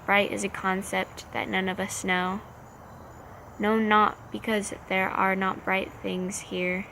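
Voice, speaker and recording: A woman reciting slam poetry in deliberate, measured short phrases. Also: mid-range voice, little pitch movement, vocal fry, sharp tone. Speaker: slam poet. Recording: faint background noise.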